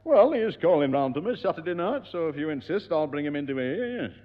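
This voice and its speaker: A pompous, chatty neighbour who rambles theatrically. His voice swoops up and down and sits a little high. The old radio recording is dull and echoey. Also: very animated, fast. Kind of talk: 1960s radio sitcom acting.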